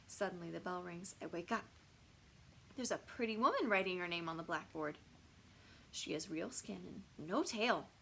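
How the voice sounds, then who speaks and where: A woman reading a children's bedtime story expressively, with dramatic, deliberate phrasing and pauses for suspense. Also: lowish voice, gravelly, bright, forceful tone, a little hiss or hum. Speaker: storybook reader.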